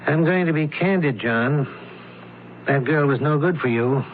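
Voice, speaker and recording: An actor playing a shrewd, candid doctor and sleuth in an old-time radio drama, speaking in a measured, precise way. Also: male voice, on the high side, lightly acted. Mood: candid, grave. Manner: measured, precise, deliberate explaining. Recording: tinny, noisy radio drama recording.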